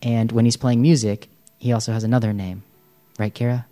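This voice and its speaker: A man's voice: mid-range voice, level tone, fairly quick, warm tone, faint music behind. A man from the United States hosting a casual podcast, friendly and chatty, saying "like" a lot. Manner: friendly, chatty, uses "like" often. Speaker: host.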